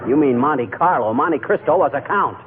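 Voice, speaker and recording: A man's voice: a little high, animated, normal speed, lightly acted. An actor playing a blustery, flustered but good-hearted everyman husband in 1940s radio comedy, folksy and given to stammering. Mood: easygoing. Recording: muffled, noisy 1940s radio recording.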